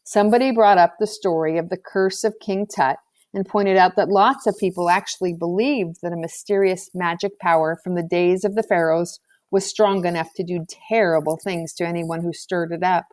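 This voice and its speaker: A woman reading a children's novel aloud as scripted narration, in an expressive storybook manner. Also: on the low side, moderate pace. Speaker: audiobook reader.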